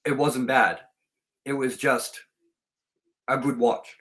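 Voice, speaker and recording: A man's voice: medium pitch, fairly even intonation, takes it slowly, a bit bright. Chatty middle-aged man hosting casually. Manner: chatty, rambling, anecdotal. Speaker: host of a film review show. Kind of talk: casual unscripted review chat.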